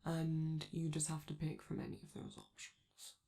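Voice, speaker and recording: A woman performs a flamboyant, vain robot star in an intimate ASMR roleplay. The voice is lowish and very swoopy, taking its time over teasing, theatrical lines and doting on the listener. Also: wildly swooping pitch, mellow, exaggerated voice. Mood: gentle, fussing. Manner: theatrical, breathy asides, teasing endearments, drawn-out words.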